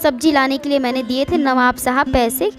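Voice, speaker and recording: A woman's voice: sits high, fairly even intonation, brisk, music in the background, lightly acted. A woman voicing a scornful, bossy young daughter-in-law in a moral story, scolding and sarcastic. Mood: indignant. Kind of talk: Hindi moral story voice acting.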